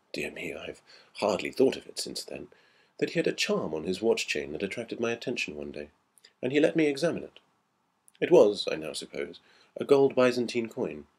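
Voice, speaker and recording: A man reading a ghost story dramatically, in a measured and reflective storytelling manner. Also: deep voice, husky. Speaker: audiobook reader.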